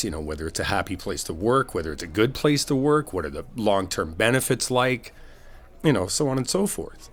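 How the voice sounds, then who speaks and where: A man playing a supportive, laid-back best friend in a casual comfort roleplay, talking in a reassuring, rambling, informal way. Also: lowish voice. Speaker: ASMR roleplay performer.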